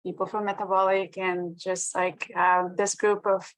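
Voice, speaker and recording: A woman's voice: medium pitch, level tone, measured pace, no echo, low-quality recording. A woman from Mexico introducing herself on a video call, hesitant, with many fillers. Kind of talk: casual self-introduction.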